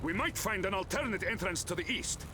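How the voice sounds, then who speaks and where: A man's voice: high-pitched, animated, brisk, noisy background. An actor playing a determined Soviet field officer, urgently commanding his comrades in a war video game. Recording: game audio under music and gunfire.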